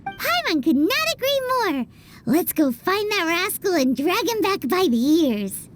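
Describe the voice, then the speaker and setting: A very high, bouncy fairy girl voice that swoops up and down, childlike and blunt, over game music. She chatters about herself in the third person. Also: big pitch swings, a little slow, full character voice. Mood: indignant. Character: tiny floating female fairy companion, blunt and excitable. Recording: game soundtrack music behind.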